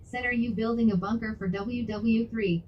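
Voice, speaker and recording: A synthetic female text-to-speech voice reading viewer chat messages aloud in a flat, even manner. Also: a little high, normal speed, muffled recording, slight background noise.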